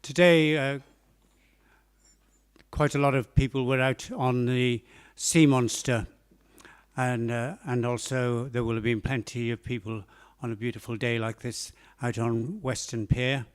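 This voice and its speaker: A Welsh man reads his own poetry at a cafe open mic. He speaks slowly and deliberately, with a mid-range voice and hesitant pauses. His delivery is measured and unhurried. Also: mid-range voice, steady tone, clear, resonant tone. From Wales. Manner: slow, measured, with hesitant fillers.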